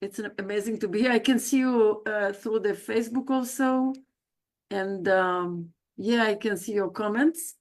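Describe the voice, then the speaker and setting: A woman's voice: medium pitch, moderate pace. A woman, introducing herself on a video call in a chatty, personal way, with frequent hesitations and self-corrections. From South America. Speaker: guest speaker, leadership coach. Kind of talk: livestreamed self-introduction talk.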